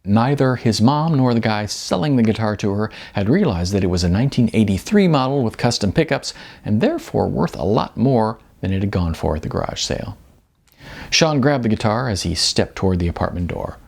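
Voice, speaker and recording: Guy reading an audiobook novel, kinda wry n chatty, really animated intonation. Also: lowish voice, a little fast, creaky. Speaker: narrator. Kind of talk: audiobook fiction narration.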